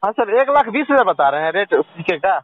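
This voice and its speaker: A man's voice: high voice, very animated, a little fast. A young man making a casual phone call as a caller, hesitant and full of questions. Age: young adult. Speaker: caller selling an old coin. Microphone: telephone. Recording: tinny, dry phone call recording.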